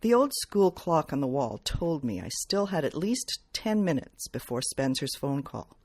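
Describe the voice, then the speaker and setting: A woman reading first-person detective fiction aloud as its author, in measured, clearly phrased narration. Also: low voice, big pitch swings, a little mellow. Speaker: author reading from own novel.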